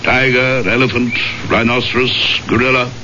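Hearty English aristocrat, bright and forceful man acting. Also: medium pitch, flat delivery, moderate pace, natural voice. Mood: quietly menacing. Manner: jovial, expansive, clipped. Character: hearty, big English aristocrat adventurer, faintly menacing. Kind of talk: 1970s radio drama acting. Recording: dull, faintly noisy 1970s radio tape.

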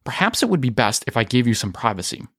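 A man's voice: medium pitch, big pitch swings, quick pace, a bit bright, dry recording, studio quality. Man reading as a polite, tactful medical droid.

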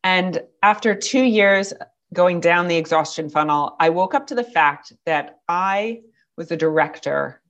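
A woman with a slightly raspy voice, hosting a podcast and introducing a guest in a calm, measured way. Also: lowish voice, highs cut off. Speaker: podcast host. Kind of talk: scripted intro then interview.

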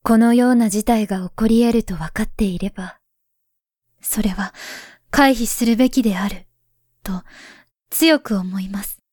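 A woman voicing a grown woman who writes to her past self, speaking softly and gravely, often near a whisper. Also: medium pitch, unhurried, pauses often, dry recording, studio quality, close to an everyday voice. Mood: earnest. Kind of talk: voice drama letter monologue.

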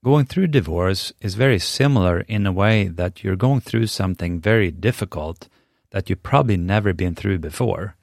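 Deep-voiced Swedish-accented man, dry sound. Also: no echo. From Sweden. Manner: earnest, measured and encouraging. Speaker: podcast host. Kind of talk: scripted podcast monologue.

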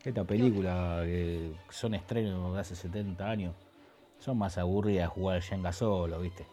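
Male actor, Rioplatense accent, low pitch, dark relaxed timbre, slangy irreverent faux film expert, radio comedy. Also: moderate pace, close to an everyday voice. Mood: evasive, rambling. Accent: Argentine Rioplatense. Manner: chummy, rambling street slang. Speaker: radio show co-host. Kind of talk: comedic radio theatre banter.

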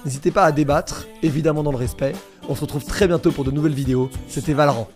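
A man's voice: fairly high pitch, steady tone, fast, a little mellow, faint music behind. A man hosting a debate show, asking winding questions and wrapping up with an upbeat sign-off. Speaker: show host.

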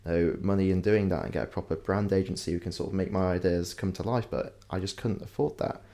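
Deep, flat-voiced young man. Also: quick pace. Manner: reflective, hedging with "you know" and "sort of". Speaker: guest, entrepreneur. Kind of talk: interview answer.

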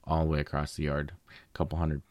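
A young man with a deep voice, casually telling a long story as a guest in a conversation, with fillers and restarts. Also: completely flat delivery, quick pace, darkish tone, no echo. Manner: rambling, with fillers.

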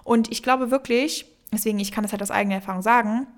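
A woman's voice: fairly high pitch, steady tone, fast. Young woman podcast co-host, loose and rambling, heavy on fillers, mixes in English words. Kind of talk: casual podcast chat.

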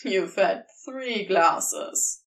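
A woman voicing a wry male demon, guarded but secretly tender, with a teasing, deliberate way of talking in an audio story. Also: mid-range voice, a bit bright, distant mic, pitched below the performer's own voice.

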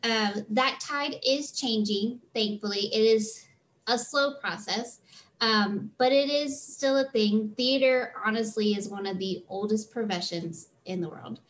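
A woman with a slightly high-pitched voice, a freelance theater tour manager answering interview questions in a chatty, earnest way with many fillers. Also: a little slow, low-quality recording.

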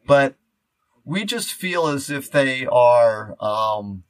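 A man's voice: mid-range voice, expressive, very slow, no room sound. A man hosting a podcast in casual chat, rambling and often interrupting himself mid-sentence. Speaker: podcast host.

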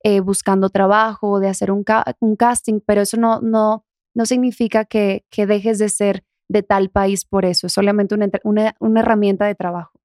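A young adult woman from the Dominican Republic with a softened Dominican accent, talking casually as an interview guest. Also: mid-range voice, little pitch movement, moderate pace, dry recording, clean studio sound. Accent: Dominican, softened toward neutral Spanish.

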